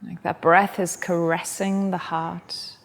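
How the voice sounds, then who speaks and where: Soft-spoken woman guiding a meditation. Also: fairly low pitch, flat delivery, big-room sound. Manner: soft, slow and gentle guidance. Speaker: yoga and meditation teacher. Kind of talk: guided meditation.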